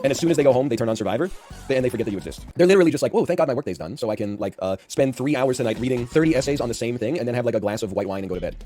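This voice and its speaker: A man in his early thirties with a deep voice, hosting a casual gaming chat and telling rambling stories full of "like", in a dry, unenthusiastic way. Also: expressive, very fast, dark tone, soft background music. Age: early 30s. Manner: rambling, talky, heavy on filler "like"s. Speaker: streamer and game host.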